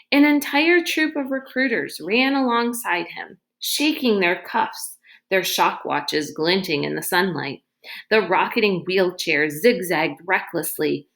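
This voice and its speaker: A woman's voice: medium pitch, fairly bright tone. Woman reading a storybook aloud. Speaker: read-aloud reader. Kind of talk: expressive storybook narration.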